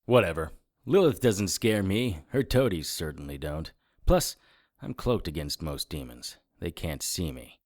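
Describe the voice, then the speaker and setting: A man playing a sardonic occult investigator, narrating a noir audio drama in the first person with a wry, deadpan manner. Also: low voice, measured pace, no room sound, clean studio sound. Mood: sardonic, unimpressed. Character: hard-boiled, sardonic male occult investigator. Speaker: narrator and lead character.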